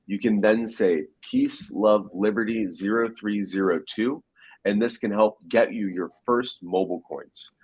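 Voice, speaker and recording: Deep, husky-voiced male host. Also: rough recording. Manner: conversational, asks pointed follow-up questions. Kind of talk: live-stream interview and appeal for donations.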